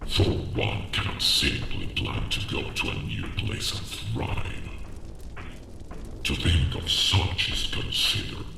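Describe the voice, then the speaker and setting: An extremely deep, heavily creaking dragon, speaks slowly and with grand menace. The voice is bitter and vengeful, echoing strongly as in fantasy audio drama. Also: male voice, noisy background, full character voice. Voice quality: deep gravelly growl. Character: vengeful, embittered ancient male dragon. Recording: roomy, echoing, distant voice.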